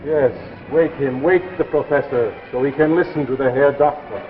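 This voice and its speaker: A man's voice: medium pitch, normal speed, narrow, tinny audio, a little hiss or hum, lightly acted. Man acting formal talking machine on thin vintage radio. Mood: commanding, defiant. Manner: formal, declamatory. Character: talking reading machine, bookish, turning imperious and defiant. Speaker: machine voice reading classic novels aloud. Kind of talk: 1950s radio drama, literary narration. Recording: thin band-limited 1950s radio, music behind.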